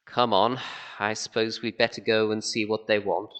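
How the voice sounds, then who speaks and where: A man's voice: on the low side, flat delivery, moderate pace, a bit bright, muffled recording, lightly acted. A man playing a weary, eccentric old alien planet designer in an amateur audio drama, rambling and world-weary. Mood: resigned. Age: older.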